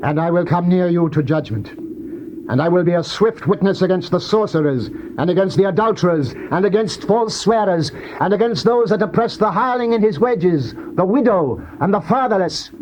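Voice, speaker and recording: An actor playing a stern God of Israel speaking judgment, in a solemn, declamatory dramatized scripture reading. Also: male voice, sits high, lively intonation, moderate pace, a little mellow. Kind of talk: dramatized scripture reading, classical stage delivery. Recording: muffled, noisy old cassette tape.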